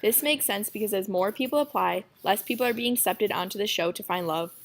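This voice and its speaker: A woman's voice: medium pitch, brisk. A woman reading an explanatory narration for a school-style project. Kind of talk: read narration.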